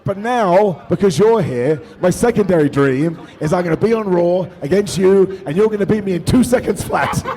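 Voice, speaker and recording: A British man hosting a live wrestling review show, jokey and loud, hyping the audience, and a bit hoarse from losing his voice. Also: sits high, expressive, unhurried. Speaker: host. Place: large hotel event venue. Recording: in front of a noisy live crowd.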